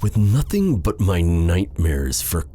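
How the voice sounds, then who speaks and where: A man playing a suave, menacing dark sorcerer with tender airs in an ASMR fantasy roleplay, speaking in a theatrical, silky, taunting way. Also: low voice, big pitch swings, takes it slowly, slight background noise, heavily acted. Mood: bitter, brooding.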